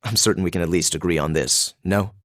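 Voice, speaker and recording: Anime game casting: duke's son, lowish pitch, clipped, cool, superior, formal phrasing. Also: male voice, quick pace, fairly bright tone, dry recording, studio quality. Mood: cool disdain. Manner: pompous, clipped, formal, disdainful. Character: haughty, cool teenage male noble, a duke's son. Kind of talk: English anime game dub acting.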